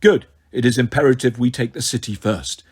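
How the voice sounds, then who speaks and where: A man's voice: medium pitch, fairly quick, breathy edge, voice pushed down, close to an everyday voice. An undead tyrant king out of a fantasy audiobook, acted by a male narrator. He commands and scolds with imperious menace, as if every listener were a servant. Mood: scheming, commanding. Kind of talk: audiobook character voice.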